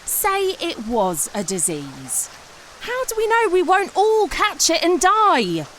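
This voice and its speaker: Excitable lady sleuth, high voice, takes her time, dry asides, comedy radio play, bit of noise. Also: slow, heavily acted, poor audio. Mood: earnest, dramatic. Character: excitable would-be writer turned amateur detective, sardonic woman. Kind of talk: comedy audio drama acting with confiding narration.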